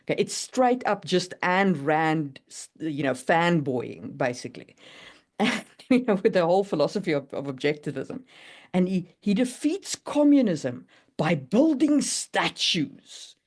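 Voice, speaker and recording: A woman chatting casually and opinionatedly, in an animated way, as on a writing podcast. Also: on the low side, measured pace. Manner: animated, opinionated, laughs readily. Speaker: podcast guest discussing writing. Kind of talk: casual chat.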